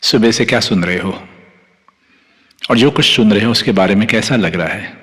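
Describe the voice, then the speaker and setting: A man with an Indian accent guiding a meditation as a teacher, calm, unhurried and soothing, leaving long pauses between phrases. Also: on the low side. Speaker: meditation teacher, Reiki master guiding yoga nidra. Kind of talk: guided meditation, instructing listeners. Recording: long hall-like reverb on the voice.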